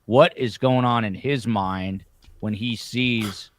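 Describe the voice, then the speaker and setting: A man hosting a legal panel, moderating casually and thinking aloud in a halting way. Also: on the low side, slight background noise. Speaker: panel host.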